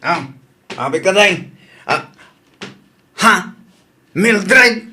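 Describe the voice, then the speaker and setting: Bright-voiced earnest Ilocano man, acted in radio drama. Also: high-pitched, animated, extremely slow, slight background noise, heavily acted. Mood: startled. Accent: Filipino, Ilocano. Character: earnest male lover and single father, firm with exes.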